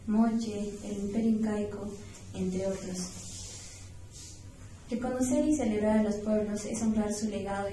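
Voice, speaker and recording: A young woman with a southern Peruvian accent gives formal, recited answers as a podcast guest. Her voice is very mellow and rather level. The recording has heavy room echo. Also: mid-range voice. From San Román province, Puno, Peru. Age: young adult. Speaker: guest, university student. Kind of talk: prepared formal interview answers. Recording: echoey room, distant mic, faint noise.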